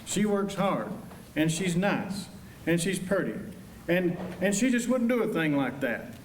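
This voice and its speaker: A man's voice: high-pitched, very animated, a little slow. A man playing a loyal young marshal's assistant in a staged radio western, folksy, earnest and protective. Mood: upset, defiant. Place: high school auditorium stage. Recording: echoey auditorium, distant mic, faint noise.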